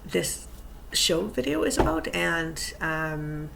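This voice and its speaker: Soft-spoken woman with a gravelly voice. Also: lowish voice, sing-song, takes it slowly, noisy background. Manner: rambling, many ums and restarts; speaking quietly so as not to wake someone. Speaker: vlogger and fitness coach. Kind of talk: casual vlog chat.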